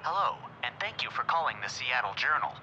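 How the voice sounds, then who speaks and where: A man's voice: mid-range voice, sing-song, fairly quick, pushed and bright. A man voicing a polite automated phone menu in an audio drama, formal and scripted. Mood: polite, impersonal. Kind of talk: recorded announcement in audio drama. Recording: dull sound, faint noise.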